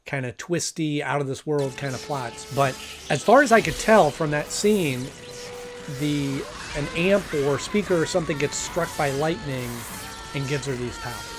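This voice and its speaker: A man gives casual review commentary as the host. His voice sits a little high, and he talks conversationally, thinking aloud and stressing points as he goes. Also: normal speed, over music.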